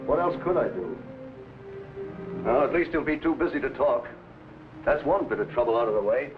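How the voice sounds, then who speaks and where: Actor as earnest frontier landowner, dull vintage recording. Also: male voice, medium pitch, lively intonation, moderate pace, soft background music, close to an everyday voice. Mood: stern, worried. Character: John Sutter, proud frontier landowner, anxious for his land. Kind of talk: old adventure serial acting.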